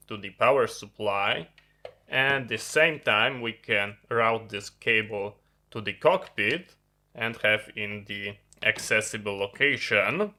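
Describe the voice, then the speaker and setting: A man who is a non-native English speaker, giving a halting, unscripted hands-on explanation of a piece of gear as a video host. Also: lowish voice, sharp tone. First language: not English. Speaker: host of an electronics review channel. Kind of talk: unscripted hands-on product demonstration. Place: indoor electronics workbench.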